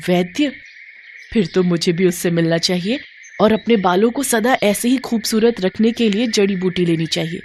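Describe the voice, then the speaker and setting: A woman's voice: medium pitch, normal speed, darkish tone, a little hiss or hum, lightly acted. A woman voicing a vain young village beauty in a storybook tale, coy and plaintive in manner. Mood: eager.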